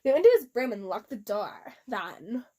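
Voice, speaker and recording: A woman's voice: a little high, sing-song, normal speed, dark tone, slightly rough. A young woman giving a casual, giggly, mock-dramatic amateur reading of fan fiction, breaking off for asides.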